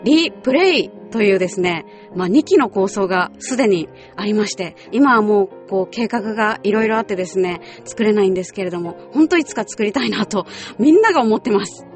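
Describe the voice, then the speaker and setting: A woman's voice: medium pitch, animated, brisk, hardly any pauses. A woman hosting a radio show, talking politely in a scripted style with chatty, casual asides. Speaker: radio show host and voice actress. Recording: music behind the voice. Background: background music.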